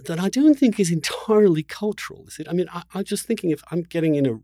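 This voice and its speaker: A middle-aged man hosting a casual, spirited discussion, speaking haltingly as he thinks aloud. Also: sits high, animated, dark tone, no echo, studio quality. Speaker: podcast host.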